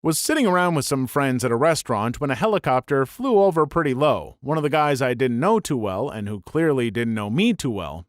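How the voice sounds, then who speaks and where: A man reading a string of online stories aloud as a scripted narration, brisk and even with dry asides. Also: mid-range voice, dry recording.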